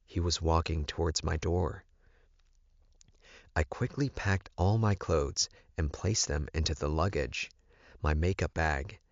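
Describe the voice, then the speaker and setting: A man with a very low voice narrates a story from a script. He reads slowly, and the recording is dry and somewhat dull. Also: no room sound. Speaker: audiobook narrator.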